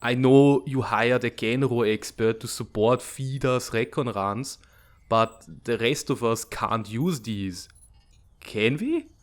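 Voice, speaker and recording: A man's voice: mid-range voice, takes it slowly, natural voice. A man playing a pragmatic, impatient military strategist with a blunt, matter-of-fact manner in a comic fandub. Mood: irritated, doubtful. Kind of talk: dramatic comic fandub acting.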